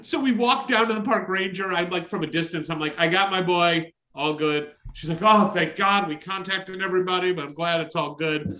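A middle-aged man with a high, bright, forceful voice tells a casual story on a podcast. He is loud and exclamatory, slipping into quoted dialogue as he recounts what people said. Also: fairly quick, hardly any pauses. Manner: exclamatory, acts out dialogue in his stories. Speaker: podcast host. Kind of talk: animated anecdotal storytelling in casual chat.

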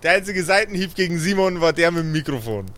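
A man's voice: fairly high pitch, animated, fast talker, a bit bright, slight background noise. A man playing in an improvised tabletop role-play, speaking casual, slangy, sweary dialogue with emphasis.

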